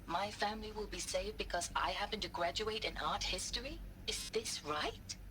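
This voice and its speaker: An actress playing a solemn, conflicted art historian in a game audio log, speaking in a halting, reflective way. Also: female voice, medium pitch, sharp tone, slight background noise, close to an everyday voice. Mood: troubled, wondering.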